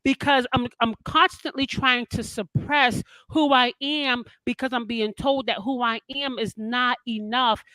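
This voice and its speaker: A woman with a loud, commanding voice, chatting casually on a podcast. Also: a little high, steady tone, unhurried, few pauses, dry recording, low-quality recording.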